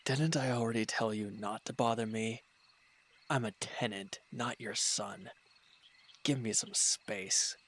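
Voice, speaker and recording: Low-voiced, bitter wounded villain played by a man. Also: a little slow, fairly bright tone. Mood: irritated, defensive. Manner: curt, irritable, dismissive, sighing. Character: proud, bitter, wounded male villain, reluctant tenant. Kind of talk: dramatic roleplay audio monologue.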